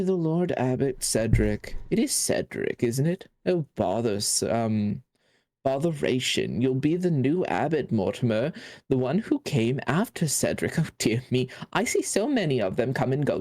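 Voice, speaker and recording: A man's voice: medium pitch, very animated, a little mellow. A man voicing an ancient, absent-minded male mouse scholar who hums and mutters as he reads from old records. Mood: fussy. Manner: doddering, muttering hums, slow and fussy.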